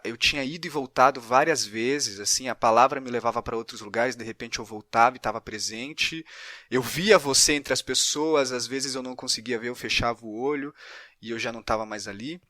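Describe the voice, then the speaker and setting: A Brazilian man speaking as a podcast interviewer, thinking aloud in a halting, reflective, conversational way. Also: medium pitch, sharp tone, dry recording, clean studio sound.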